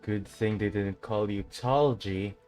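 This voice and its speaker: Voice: low-pitched, takes it slowly, mellow, soft background music. A game player giving casual, short reactions and reading dialogue over gameplay.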